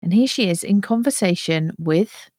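Woman, podcast host, scripted intro, lowish voice, dry close sound. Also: expressive, normal speed, a little mellow, no room sound. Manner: announcing, read from script. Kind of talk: scripted narration.

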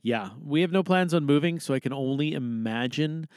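A man's voice: fairly high pitch, normal speed, a little mellow, smooth, no echo, studio quality. A Canadian man hosting a podcast, talking in a casual, rambling, self-deprecating way. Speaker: podcast host. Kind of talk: casual rambling solo chat. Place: small recording booth.

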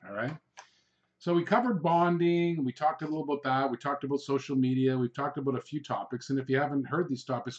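Man coaching on a dull, lo-fi podcast. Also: medium pitch. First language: Hungarian. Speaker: podcast host, real estate sales coach. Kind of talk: informal solo podcast coaching.

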